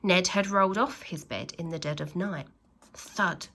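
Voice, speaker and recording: A woman reading a children's storybook aloud like a teacher, with animated, dramatic emphasis and little asides to her young listeners. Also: lowish voice. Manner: expressive, with dramatic emphasis and exclamations; pauses to address young listeners with questions.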